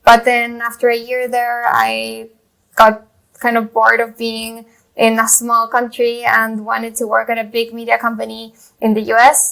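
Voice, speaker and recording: A woman's voice: fairly high pitch, creaky, sharp tone, low-quality recording. A woman in her thirties from Chile, a journalist guest telling her story in a rambling way, full of fillers and restarts. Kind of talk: casual interview talk.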